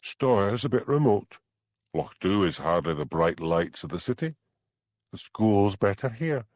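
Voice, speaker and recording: A man's voice: lowish voice, sing-song, measured pace, darkish tone, no echo. Man acting a guarded, touchy Scottish villager. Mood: defensive, snappish. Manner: guarded, curt, dialect speech. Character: small, weather-beaten, evasive Scottish villager, touchy.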